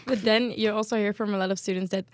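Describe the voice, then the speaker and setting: A woman's voice: mid-range voice, fairly even intonation, fast talker, no echo, studio quality. A young woman hosting a podcast about student life, chatty and tripping over a word now and then. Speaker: podcast host.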